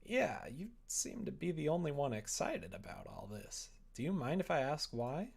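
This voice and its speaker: A man's voice: a little high, sing-song, moderate pace, vocal fry, darkish tone, husky, heavily acted. A man voicing the earnest, apologetic manager who leads a visual novel, tentative and encouraging. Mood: curious. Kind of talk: visual novel read aloud.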